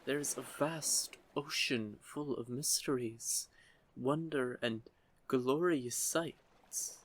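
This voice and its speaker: Man voicing a slow-talking, curious young merman. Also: medium pitch, a little hiss or hum, natural voice. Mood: wistful. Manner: slow, measured, gently formal, teasing. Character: curious, teasing, sheltered young merman, nearly a hundred. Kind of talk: scripted audio roleplay, intimate one-sided conversation.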